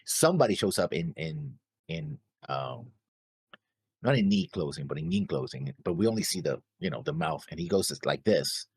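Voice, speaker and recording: A man with a very low, husky voice chats casually as a show co-host. His pitch moves around a lot as he works haltingly and excitably through his points. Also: no echo, studio quality. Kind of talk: casual chat about an anime episode.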